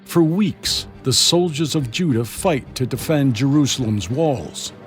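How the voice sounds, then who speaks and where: Male story narrator, mid-pitched and expressive, dramatic scripted delivery for children over faint music. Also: unhurried.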